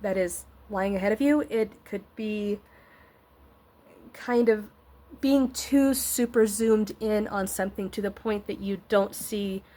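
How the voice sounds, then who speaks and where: A woman's voice: mid-range voice, a little mellow. A woman giving a casual, encouraging tarot reading, rambling and searching for words, with a slightly scratchy voice and a congested nose. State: congested nose, getting over an illness. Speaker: tarot reader and host.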